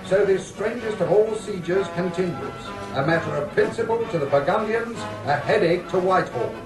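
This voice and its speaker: Casting: arch 1940s newsreel commentator, high-pitched and grandiose, heard at a distance over music. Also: male voice, animated, moderate pace, echoey room. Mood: grandly wry. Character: plummy, wry male newsreel announcer.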